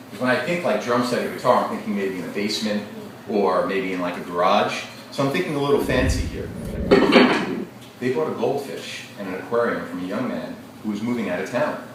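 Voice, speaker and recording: Man teaching a class in an echoey room. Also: on the low side. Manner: lively, engaging, questions the class. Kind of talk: interactive read-aloud lesson. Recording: echoey classroom, distant mic, noisy.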